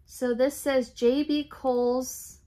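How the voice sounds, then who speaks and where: A woman, chatting casually in a home craft room, slightly raspy and congested from allergies, clearing her throat now and then. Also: sits high, dark tone. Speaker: reseller vlogger. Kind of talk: casual shop-with-me haul chat.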